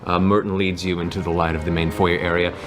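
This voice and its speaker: Low-voiced man narrating a fantasy game. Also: level tone, quick pace, music in the background. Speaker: game master. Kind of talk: descriptive tabletop game narration.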